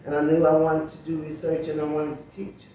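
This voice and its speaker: An older woman in her seventies or beyond, speaking as an interview guest in a reflective, emphatic and deliberate storytelling way. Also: sits low, monotone, warm tone, highs cut off. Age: 70s or older. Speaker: interview guest, sociologist and teacher. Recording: very echoey room, distant mic, faint noise.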